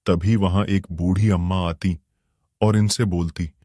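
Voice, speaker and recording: A man narrating a cartoon moral story in a steady, plain storytelling manner. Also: sits low, measured pace, no room sound, clean studio sound.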